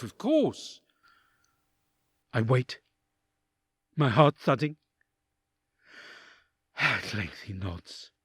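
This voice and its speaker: A man's voice: a little high, fairly bright tone, slightly rough, dry recording, clean studio sound, full character voice. A man playing an awed, anxious envoy who narrates a dramatic audio drama in the first person.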